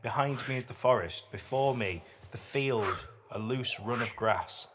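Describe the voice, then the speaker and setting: A man's voice: mid-range voice, unhurried, noisy background. A middle-aged man hosting a podcast, moving between casual, reflective chat and reading poetry aloud. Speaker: podcast host.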